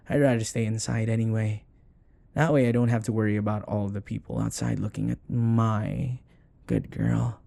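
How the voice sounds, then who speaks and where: A man acting a rich older boyfriend, possessive and sweetly doting, in an intimate romantic audio roleplay, speaking in a soft, hesitant, coaxing murmur. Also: mid-range voice, vocal fry, dry recording.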